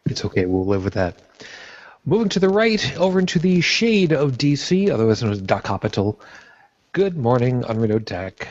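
Relaxed New man hosting a panel. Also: medium pitch, normal speed, no echo. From born in Brooklyn, raised on Long Island. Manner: relaxed, chatty, introduces guests one by one. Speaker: host of a panel vlogcast. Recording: dry, muffled lo-fi audio.

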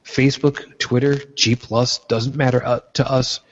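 A man's voice: mid-range voice, level tone, normal speed. A man from New York hosting a casual roundtable, talking bluntly and swearing freely over a video call. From New York, United States. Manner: blunt and profane. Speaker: podcast host. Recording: dull lo-fi sound.

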